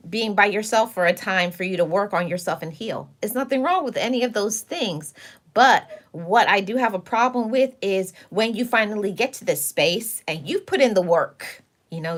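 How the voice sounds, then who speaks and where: A woman hosting a livestream, talking casually and sassily to her chat, giving motivational advice in a preachy, straight-talking way. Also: mid-range voice. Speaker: livestream host.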